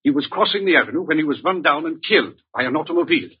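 Very raspy, haughty, frightened rich man. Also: on the high side, expressive, racing. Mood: grave. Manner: stiff, formal, self-important. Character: wealthy, haughty, frightened male client in danger. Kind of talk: old-time radio drama acting. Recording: muffled vintage radio drama recording.

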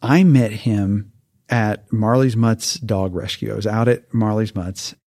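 Mellow-voiced man telling stories. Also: fairly low pitch, measured pace, no room sound, clean studio sound. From Hawaii. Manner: relaxed, laughs often, restarts words. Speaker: guest. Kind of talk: casual interview storytelling.

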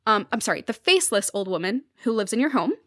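A woman's voice: mid-range voice, sing-song, fast talker, a bit bright, no room sound, studio quality. A woman speaking conversationally as a podcast guest, explaining things in a thoughtful, articulate way.

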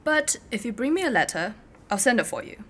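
A woman acting a brusque, impatient clerk in an audio drama, curt and sardonic with a deadpan, dismissive delivery. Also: a little high, fairly quick, a little hiss or hum. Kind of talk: naturalistic fiction podcast acting.

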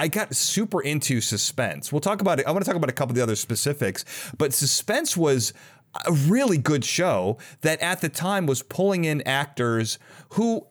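A man hosting a podcast in casual conversation, talking in a rambling, enthusiastic way and often interrupting himself. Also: fairly high pitch. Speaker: podcast host.

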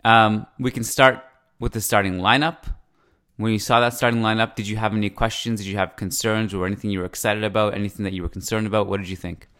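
A man's voice: fairly low pitch, fairly even intonation, quick pace, fairly bright tone. A man with an East Coast Canadian accent with Persian influence, hosting a casual football analysis podcast. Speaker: sports podcast host.

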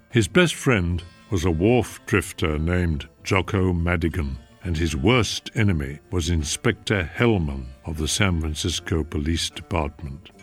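A man hosting a radio mystery show, reading scripted narration in a measured, dramatic way. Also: low-pitched.

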